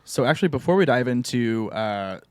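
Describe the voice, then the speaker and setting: A man's voice: mid-range voice, expressive, a little fast, darkish tone, clear, resonant tone. A man co-hosting a podcast, chatting casually and telling stories with joking asides. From Missouri, USA. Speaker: podcast co-host. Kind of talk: casual chat.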